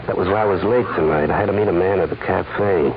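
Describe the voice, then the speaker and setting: A smooth, cunning gunman who charms and threatens with the same easy, low voice. He clears his throat nervously. The sound is tinny and buried in heavy broadcast hiss. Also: fast. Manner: suave, mocking, throat-clearing. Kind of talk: 1940s radio acting.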